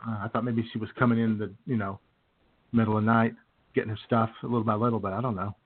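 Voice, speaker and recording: A man's voice: lowish voice, flat delivery, fast. A man co-hosting a comedy podcast in casual, joking banter with a laid-back, drawling manner. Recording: thin phone-like band-limited audio.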